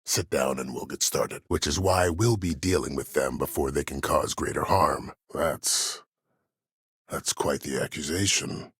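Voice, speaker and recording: An actor playing a cold, proud, older former war admiral in a video game, speaking formally and with measured authority in a gravelly, husky voice. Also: male voice, very deep voice, fairly bright tone, no room sound, clean studio sound, heavily acted. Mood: cool, commanding. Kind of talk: dramatic video game dialogue.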